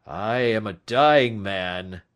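Man doing a weary, slow-speaking dying old man. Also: lowish voice, fairly bright tone, smooth. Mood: weary, resigned. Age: older. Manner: slow, heavy, emphatic, drawn-out. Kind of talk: audiobook character acting.

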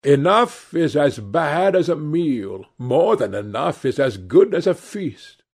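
A man's voice: fairly high pitch, very animated, takes it slowly, gravelly, darkish tone, pitched above the performer's own voice, exaggerated voice. A man voicing a witty, cynical aristocrat in a storybook reading, languid and epigrammatic. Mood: wry.

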